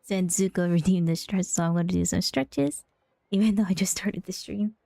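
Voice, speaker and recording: A woman's voice: fairly low pitch, steady tone, moderate pace, gravelly, mellow, no room sound. A woman game streamer chatting casually with the viewers of a livestream.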